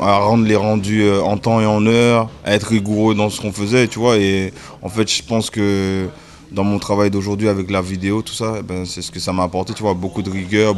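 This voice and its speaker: A man in his thirties from the French Caribbean, a music video director, talking casually in an interview, relaxed and hesitant with many fillers. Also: fairly low pitch. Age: 30s. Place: indoor room. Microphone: desk mic in front.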